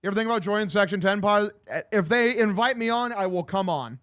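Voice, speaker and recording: A young man in his twenties with a distinctly high voice for a male. He streams baseball commentary mixed with casual chat, in loose, slangy language with plenty of swearing and a bro-like way of addressing people. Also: brisk, a bit bright. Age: 20s. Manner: profane, slangy, bro-like talk. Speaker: sports streamer calling a baseball game and answering chat.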